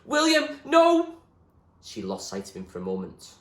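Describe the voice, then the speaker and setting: A man reading a novel aloud as expressive storybook narration, like a teacher reading to a class. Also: low voice, fairly quick, reverberant.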